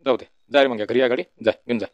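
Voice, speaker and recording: A man's voice: mid-range voice, steady tone, fast, dry recording, natural voice. A man voicing a generous, easygoing young village do-gooder in a comedy cartoon, folksy and obliging, with a Varhadi Marathi accent. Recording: dull, lo-fi sound.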